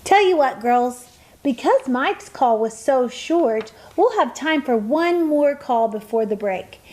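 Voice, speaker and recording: High, lilting encouraging mom played by a woman. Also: expressive, takes it slowly, a little mellow. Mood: supportive. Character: supportive, calm mother producing her daughters' podcast. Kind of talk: radio play acting.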